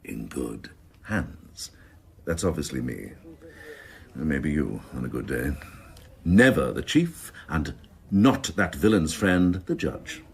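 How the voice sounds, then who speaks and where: An actor playing a cynical, ruthless senior police officer in a radio crime drama, talking in a pompous, grandiloquent, sardonic way. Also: male voice, a bass voice, big pitch swings, takes it slowly, gravelly, long pauses, heavily acted. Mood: lofty scorn.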